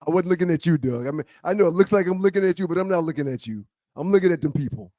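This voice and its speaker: Fast, high-voiced man co-hosting. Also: lively intonation, warm tone, no room sound. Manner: joking, repeats phrases for emphasis. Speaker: talk show co-host. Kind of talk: casual banter.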